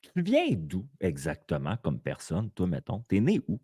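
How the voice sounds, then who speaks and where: A playful Québécois man hosts a live podcast from a small studio. His voice swings widely in pitch as he teases and exclaims. The sound is close and dry. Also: huge pitch swings, normal speed, dark tone, husky, dry recording. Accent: Québécois French. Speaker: podcast host. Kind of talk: lively live podcast interview.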